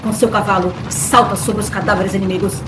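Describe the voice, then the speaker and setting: A woman's voice: medium pitch, level tone, brisk, background noise. Echoey Brazilian woman narrator. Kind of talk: scripted dramatic narration of an epic. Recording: roomy echo, music underneath.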